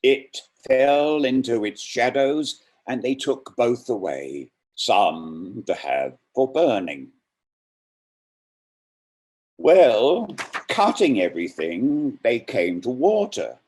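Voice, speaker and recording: A man presenting and reading poetry aloud in a deliberate way, with informal introductions. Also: medium pitch, sing-song, a little mellow.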